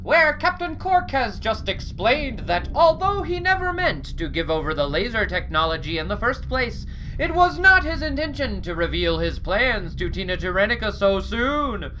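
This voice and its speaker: A woman narrator with a bright, forceful voice and big swings in pitch. She announces like the host of a cliffhanger serial, theatrical and scripted, in a slightly noisy, dull recording. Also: very animated, normal speed.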